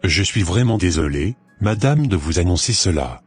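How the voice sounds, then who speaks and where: A man playing a formal, polite hospital doctor in an amateur cartoon, with a very rough, husky voice. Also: deep voice, expressive, moderate pace, faint music behind. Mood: grave, apologetic.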